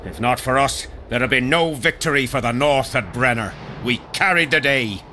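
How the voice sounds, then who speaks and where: A man's voice: medium pitch, moderate pace, natural voice. A man playing a grim, embittered war veteran, noisy background. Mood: proud. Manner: grim, coarse-tongued storytelling. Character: embittered veteran soldier, gruff survivor of a lost regiment. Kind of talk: dramatic video game acting.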